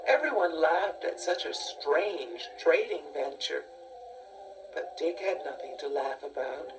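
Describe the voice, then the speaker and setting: Slow, low-voiced woman narrating a storybook. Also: background noise. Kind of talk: scripted children's story narration. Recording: distant-sounding cassette tape transfer. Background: music.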